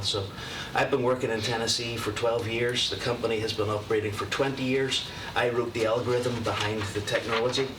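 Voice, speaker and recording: Northern Irish man, lowish voice, easygoing presenter, picked up from far off with noise behind. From Northern Ireland. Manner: relaxed, conversational, with filler words. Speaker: software presenter. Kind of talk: presenting at a public meeting. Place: county committee meeting room. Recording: roomy hall, distant mic, steady noise.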